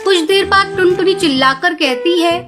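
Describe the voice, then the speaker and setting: Female storyteller for cartoon tales: very high voice, scripted narration, music underneath. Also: normal speed.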